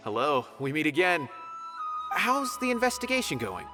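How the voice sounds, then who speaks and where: A man's voice: fairly high pitch, very animated, normal speed, over music. A man voicing a friendly, chatty acquaintance in a video game.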